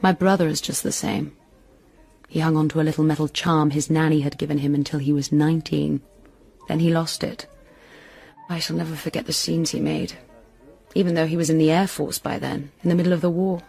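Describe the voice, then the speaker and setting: A woman's voice: deep voice, steady tone, brisk, creaky, darkish tone, slightly rough, full character voice. An actress playing a wistful, romantic young woman in a radio drama, with a dreamy, dramatic storytelling manner. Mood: fond reminiscence. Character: romantic, wistful young woman, stage performer with smuggler brother. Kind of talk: intimate radio drama acting. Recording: radio drama with music underneath.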